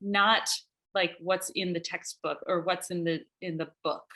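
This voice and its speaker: A woman's voice: lowish voice, steady tone, measured pace, pushed and bright. A woman who is a guest on a talk show, speaking thoughtfully and working through her points in an orderly way. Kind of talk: casual conversational interview.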